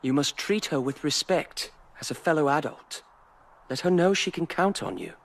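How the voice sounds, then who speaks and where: An actor playing a courteous, composed nobleman in a video game, speaking formally and with measured words. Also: fairly high pitch, sing-song, vocal fry. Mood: advising.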